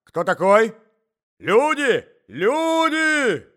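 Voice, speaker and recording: A man voicing a fussy, indignant old husband in a story, shouting, repeating himself and sputtering. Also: fairly high pitch, big pitch swings, moderate pace, voice pushed up. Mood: panicked.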